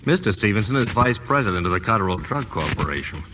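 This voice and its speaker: An actor playing a wry, brisk investigator husband in old-time radio drama, clipped and matter-of-fact. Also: male voice, lowish voice, very animated. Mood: wry, teasing. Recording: noisy old radio recording.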